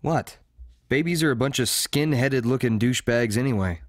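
A man's voice: mid-range voice, normal speed, no echo, lightly acted. Cocky, rambling young man, acted, close and dry. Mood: dim, cocky. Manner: casual, profane, rambling. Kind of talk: audio drama acting.

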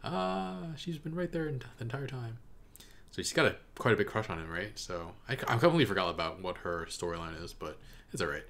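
A man's voice: fairly low pitch, animated, fairly quick, creaky, fairly bright tone. A young man giving casual, off-the-cuff reaction commentary as a video host. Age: young adult. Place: small room indoors. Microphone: desk condenser microphone.

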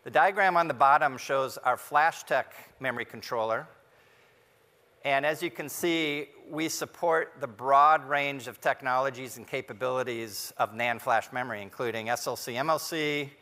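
A man presents a prepared keynote in a mid-pitched, bright and crisp-edged voice. His phrasing is measured and deliberate, with pauses between points. The sound has the long reverb of a large conference ballroom. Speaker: technology executive giving a keynote. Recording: hall reverb from a large venue.

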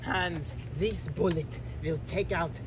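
A man's voice: a little high, steady tone, takes it slowly, over music. A young man playing a desperate, fanatical German soldier in an amateur war parody, stammering and melodramatic. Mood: fervent, deluded. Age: young adult. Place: outdoors on an urban vacant lot. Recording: noisy outdoor recording with traffic.

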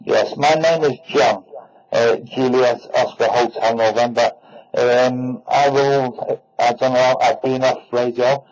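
A man's voice: medium pitch, steady tone, takes it slowly, darkish tone, dull audio. A man speaking politely and a little hesitantly as a hobby radio operator making contact over the air.

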